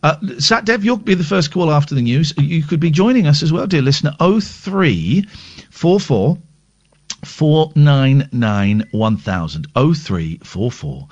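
Male radio host, rambling crude humour, animated pitch, slightly dull sound. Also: unhurried. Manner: rambling, crude deadpan jokes. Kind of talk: casual late-night radio chat.

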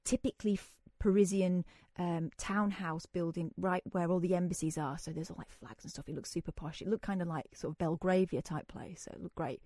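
A woman from England presenting on radio, chatting casually with animated, self-deprecating storytelling. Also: on the low side, brisk, hardly any pauses, no room sound, studio quality.

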